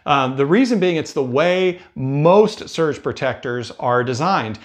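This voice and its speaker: Middle-aged American man explaining casually. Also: medium pitch. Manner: conversational, explanatory. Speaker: cruise advice video host. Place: indoor room with bookshelves. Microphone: lapel mic.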